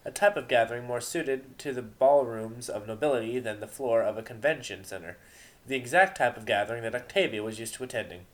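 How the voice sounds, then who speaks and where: A man of medium pitch reads a story aloud as narrator. His delivery is dramatic and deliberate, putting weight on key words.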